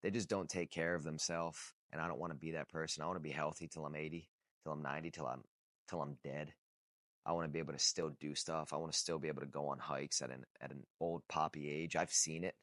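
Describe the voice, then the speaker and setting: A man's voice: a bass voice, fairly even intonation, fast, a bit bright, dry recording, clean studio sound. A man of about thirty talking casually and bluntly to camera, swearing freely and repeating phrases for emphasis. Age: 30s. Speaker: vlogger. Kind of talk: casual unscripted talk to camera.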